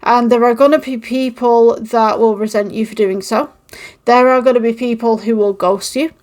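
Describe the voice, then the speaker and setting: A woman's voice: fairly high pitch, fairly even intonation, moderate pace, darkish tone. A woman from the United Kingdom with a mixed, Manchester-tinged northern English accent, talking casually to camera with many ums, recorded on an old microphone with a phone. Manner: rambling and encouraging, many ums. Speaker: channel host. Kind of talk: casual podcast-style talk to camera.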